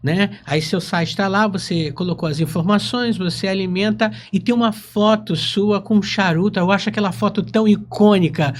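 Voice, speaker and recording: A woman's voice: mid-range voice, normal speed, hardly any pauses. A woman with a Brazilian accent hosting a casual interview, with a playful, teasing way of talking. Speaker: podcast host.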